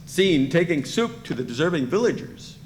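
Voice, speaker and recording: A man narrates a story at medium pitch with very lively intonation. His voice carries long reverb, with faint noise behind it. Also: moderate pace.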